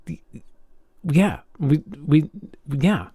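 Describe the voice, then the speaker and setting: A man's voice: fairly high pitch, very animated, mellow, husky, dry recording. Enthusiastic man chatting casually, dry close sound. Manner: halting, repeats words and rephrases often. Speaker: podcast co-host. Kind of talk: casual chat, telling a personal anecdote.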